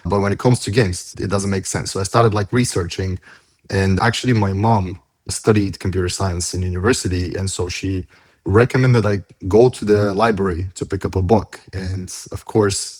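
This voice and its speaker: Deep-voiced man, close dry sound. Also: fairly even intonation, normal speed, a little mellow, no room sound. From Bulgaria. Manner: peppers talk with "like". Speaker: podcast guest, tech company founder. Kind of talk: casual interview storytelling.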